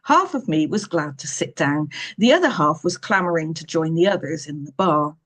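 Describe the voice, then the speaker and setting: A woman's voice: low-pitched, expressive, normal speed, a bit bright. A woman reading a first-person story aloud in a dry, wry, understated way. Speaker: author reading own novel aloud.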